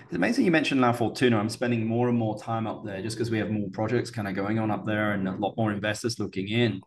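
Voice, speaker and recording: A chatty male host of an investment podcast. He talks quickly in a lowish voice and fills his questions with "you know" and "like". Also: a little hiss or hum. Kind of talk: casual interview chat.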